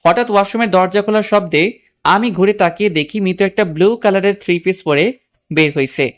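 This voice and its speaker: A woman's voice: mid-range voice, a little fast. A woman narrates a romantic audio story in the first person.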